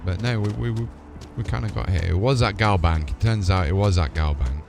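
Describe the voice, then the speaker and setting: A man's voice: low voice, a little fast, creaky. A man giving casual live gaming commentary, chattily talking to himself and answering game characters as he plays. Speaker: game streamer. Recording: game music behind the voice. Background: video game music and sound.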